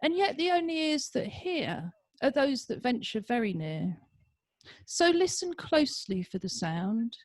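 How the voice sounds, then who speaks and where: A woman's voice: fairly high pitch, sing-song, a little slow, no room sound. A woman introducing and then reading her rhyming poem over a video call, chatty then rhythmic. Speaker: poet reading.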